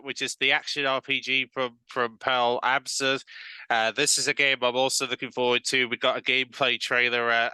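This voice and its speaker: A man's voice: medium pitch, pushed and bright, smooth, dry recording, rough recording. A man with a cold hosting a casual gaming news update, rambling with many fillers and restarts. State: has a cold.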